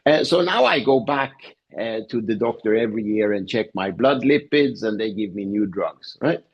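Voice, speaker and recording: A man's voice: lowish voice, lively intonation, normal speed, gravelly, husky, muffled recording. A man speaking as a guest professor in a conversational interview, explaining his points with personal examples.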